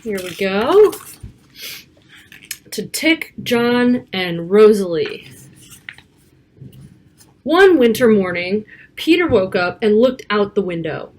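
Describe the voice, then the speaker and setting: A woman reading a picture book aloud to children, expressive, with casual asides about the pictures. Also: mid-range voice, slow pace, pauses often, low-quality recording. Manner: child-directed, expressive, stretching key words and pointing out pictures.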